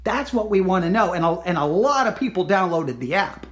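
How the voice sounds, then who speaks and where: Hoarse, rambling male podcast host. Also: high voice, expressive, a little fast. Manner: rambling, self-correcting, repeats himself; earnest, pleading with listeners. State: losing his voice. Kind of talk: casual solo podcast update.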